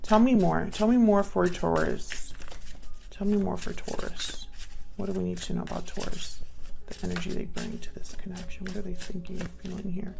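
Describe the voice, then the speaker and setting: A woman's voice: lowish voice, steady tone, normal speed. A woman giving a casual spoken tarot reading, often repeating her prompts to herself as she goes. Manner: murmurs card prompts to herself, repeating them. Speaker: tarot reader, channel host. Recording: clear background noise with card shuffling clicks.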